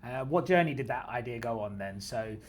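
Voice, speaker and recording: A man's voice: mid-range voice, expressive, fairly quick. A man hosting a podcast, interviewing in a casual, chatty way. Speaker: podcast host. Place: company office used for podcast recording.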